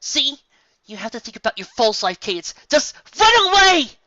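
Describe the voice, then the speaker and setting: Woman doing a frantic screaming unicorn princess, high and shrill, lo-fi. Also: sing-song, a little fast, no room sound, recorded from a distance. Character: panicked young female unicorn princess.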